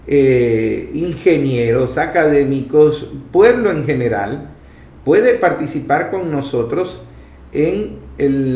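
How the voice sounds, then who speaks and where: A man with a Venezuelan accent and a slightly high voice addresses viewers as a host. His delivery is emphatic and explanatory, like an orator making a case. The sound is clearly roomy and echoing. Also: darkish tone. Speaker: programme host and foundation vice president. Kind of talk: addressing viewers in a public affairs talk.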